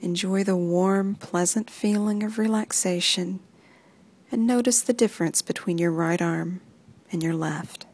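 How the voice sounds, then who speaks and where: A woman reading a guided muscle relaxation script in soft, even instructions that often sink into a whisper. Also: fairly low pitch, unhurried, breathy edge.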